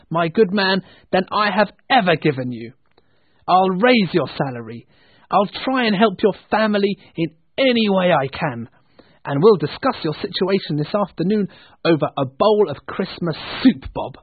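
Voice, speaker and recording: A man voicing an old miser turned joyful, booming in animated storybook style. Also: normal speed, husky, dry recording. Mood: jubilant. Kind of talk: animated storybook character acting. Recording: thin phone-like band-limited sound.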